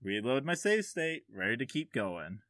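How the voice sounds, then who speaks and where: Man, podcast host, average pitch, dry close sound, casual chat, jokey, sweary. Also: sing-song, moderate pace, a bit bright, dry recording.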